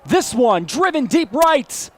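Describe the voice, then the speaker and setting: A man's voice: extremely high pitch, very animated, slow. A man with an American accent calling baseball play-by-play as a live broadcast commentator. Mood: excited.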